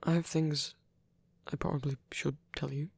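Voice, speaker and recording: A performer playing a gentle, protective male vampire priest in an intimate ASMR roleplay, speaking softly and haltingly, often in a whisper. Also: medium pitch, little pitch movement, no echo. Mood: earnest, hesitant. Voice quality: soft, breathy, often whispering. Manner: hesitant, tender, halting pauses.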